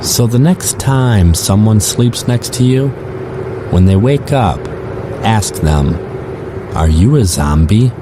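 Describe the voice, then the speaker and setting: A man's voice: lowish voice, expressive, takes it slowly. A man playing a weary, musing rideshare driver who narrates eerie stories in a conversational, first-person audio drama style. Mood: ominous. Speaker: narrator and lead character. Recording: clearly noisy, car and street ambience.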